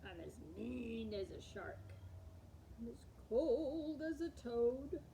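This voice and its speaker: A woman reads picture books aloud for small children in a high voice. Her delivery is very slow and animated, shaping words to act out what they mean. There is noticeable noise behind her in the recording. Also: vocal fry. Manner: animated, acts out words with slowed and varied delivery. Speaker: storytime host.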